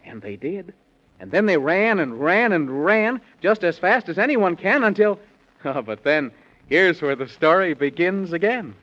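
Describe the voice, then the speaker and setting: An actor playing an excitable storyteller who tells a children's bedtime tale in theatrical singsong rhyme on 1930s radio. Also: male voice, sits high, measured pace, full character voice. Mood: excitable storytelling. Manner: theatrical, singsong rhyming delivery. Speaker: rhyming narrator. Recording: muffled old 1930s radio, music behind.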